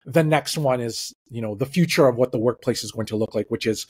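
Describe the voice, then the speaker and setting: Man, middle-aged, host, husky voice, measured questions, headphones on a call. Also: medium pitch. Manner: measured, with frequent listening murmurs. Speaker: podcast host. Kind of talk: interview questions. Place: home room. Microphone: headphones on a video call.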